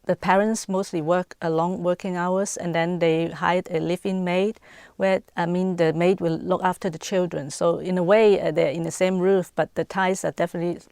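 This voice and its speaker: A woman's voice: lowish voice, fairly even intonation, moderate pace, gravelly, a little mellow, smooth, no room sound, studio quality. A woman with a Hong Kong accent giving her opinion in a hesitant way, with restarts. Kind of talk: casual spoken opinion.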